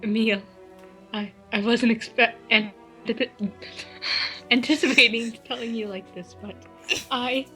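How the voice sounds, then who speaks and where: A woman's voice: on the high side, fairly even intonation, takes it slowly, pauses often, sharp tone, soft background music. A woman voicing a sarcastic, lovestruck young man, stumbling through confessions in her own voice. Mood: tender.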